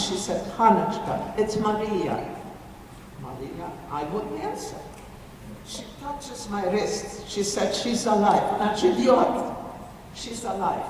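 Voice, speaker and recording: A woman, giving halting, emotional testimony as a survivor speaking to an audience. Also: fairly low pitch, a little mellow. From Ukraine. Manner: halting, emotional, restarts phrases. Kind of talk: personal testimony talk to an audience. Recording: echoey hall from far off with faint noise.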